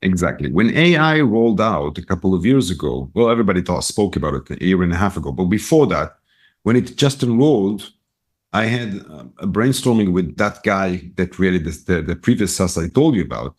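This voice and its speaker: A middle-aged man with an Israeli accent speaks on the low side, telling stories in an interview. He talks in quick bursts, often restarting his sentences. His lively pitch swings up and down as he goes. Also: slightly rough. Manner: animated storyteller, quick bursts, many restarts. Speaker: guest, retail business owner. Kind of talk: casual storytelling interview.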